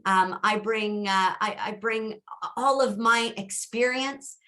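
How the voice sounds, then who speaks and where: Bright-voiced earnest Canadian woman candidate. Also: mid-range voice, slow. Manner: earnest, many fillers and restarts. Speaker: council candidate. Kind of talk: candidates' debate answer.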